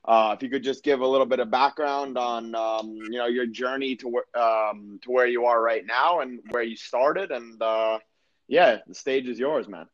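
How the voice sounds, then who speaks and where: A man's voice: mid-range voice, fairly even intonation, moderate pace, muffled recording. A man hosting a podcast in a casual, informal, conversational style. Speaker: podcast host.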